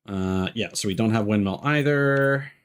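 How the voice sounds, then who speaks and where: A man giving casual live gameplay commentary as a streamer, low-key and thinking aloud as he plays. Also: moderate pace, clean tone. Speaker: video game streamer. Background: game music.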